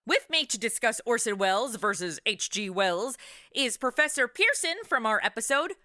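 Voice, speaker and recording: A woman hosting a podcast in a lively, scripted but conversational style. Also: a little high, normal speed, no room sound, clean studio sound. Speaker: podcast host.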